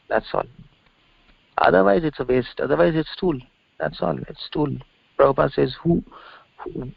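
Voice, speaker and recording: A gravelly, creaky middle-aged man preaches on scripture in an unscripted lecture. He drives points home by repeating phrases and asking rhetorical questions. The sound is dull, lo-fi conference-call audio. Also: mid-range voice, no room sound. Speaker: religious preacher and teacher. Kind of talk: scripture lecture, spoken off the cuff.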